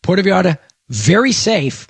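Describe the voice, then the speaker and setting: Man from Texas, middle-aged, radio host, lively swooping delivery, slightly high voice. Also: creaky, husky, no room sound, clean studio sound. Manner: fast, animated, self-promoting. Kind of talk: rambling talk-radio monologue.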